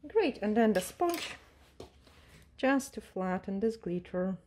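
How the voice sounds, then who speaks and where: Woman nail tech, mellow tone, calm step-by-step tutorial delivery with fillers. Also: medium pitch, takes it slowly, a little hiss or hum. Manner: calm, instructive, with frequent fillers and non-native grammar. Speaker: nail technician teaching a tutorial. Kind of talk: step-by-step tutorial narration while working. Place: nail salon desk.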